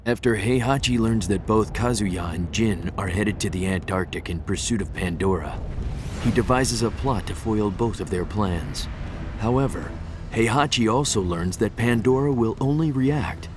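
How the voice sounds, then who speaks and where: A man's voice: on the low side, moderate pace, background noise, close to an everyday voice. A man reading scripted story narration for a video game.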